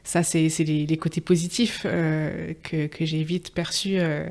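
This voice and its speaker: A woman's voice: sits low, flat delivery, unhurried, gravelly, a little mellow. A young woman from France who grew up partly in Martinique, talking as a podcast guest in a hesitant, casual way, with many fillers and frequent laughs. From France, raised partly in Martinique. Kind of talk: casual interview testimony.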